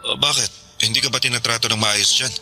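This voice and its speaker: A man's voice: medium pitch, steady tone, quick pace, sharp tone, faint music behind. An actor playing a busy, evasive middle-aged father in a Filipino radio drama, speaking hesitantly and hedging. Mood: apologetic, concerned.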